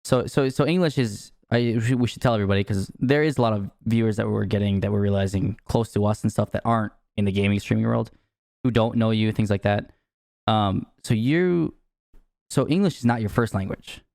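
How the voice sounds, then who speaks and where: A man's voice: on the low side, a little fast, vocal fry, a little mellow, no room sound, clean studio sound. Rambling man co-hosting a podcast. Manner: rambling, swears casually. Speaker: podcast co-host. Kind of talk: casual podcast interview.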